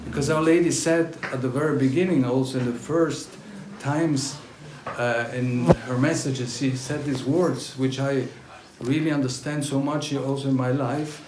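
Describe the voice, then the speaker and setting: A man from northern Italy whose first language is German, giving a religious testimony to a pilgrim group in halting English full of fillers. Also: mid-range voice, fairly even intonation, reverberant, noisy background. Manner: halting, searching non-native English with fillers. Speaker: pilgrimage speaker. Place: gathering at a castle.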